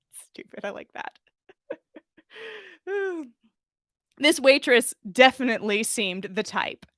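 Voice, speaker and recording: A woman reading fan fiction aloud as a podcast host, in an expressive storytelling style. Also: on the high side, dry recording, studio quality.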